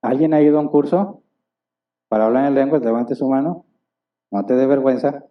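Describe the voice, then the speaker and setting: Pastor preaching, distant and muffled. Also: male voice, medium pitch, a little fast. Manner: asks the congregation rhetorical questions; acts out little dialogues. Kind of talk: sermon. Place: church hall. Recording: distant, dull church recording.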